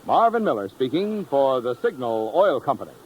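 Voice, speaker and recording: A man's voice: mid-range voice, very animated, moderate pace, heavy background noise. An American man announcing from a script in a polished, formal 1950s radio style. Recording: old radio broadcast, music behind.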